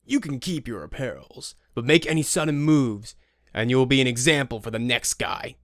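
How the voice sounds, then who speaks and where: A man's voice: mid-range voice, animated, moderate pace, background noise, lightly acted. A man voicing a menacing, mocking street-gang robber in an audio drama. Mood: mocking threat.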